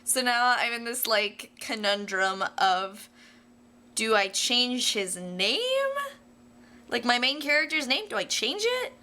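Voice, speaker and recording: A woman's voice: fairly high pitch, lively intonation, slow pace, sharp tone. A young American woman talking casually to viewers like a video diary, rambling in a playful, self-deprecating way. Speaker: vlogger.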